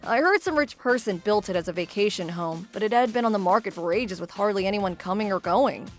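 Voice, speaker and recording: Friendly young wife narrating a manga story, played by a woman: mid-pitched, animated, expressive, everyday. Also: fairly quick, lightly acted. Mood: storytelling. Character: friendly, ordinary young wife telling her own story. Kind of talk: scripted manga dub narration. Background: background music.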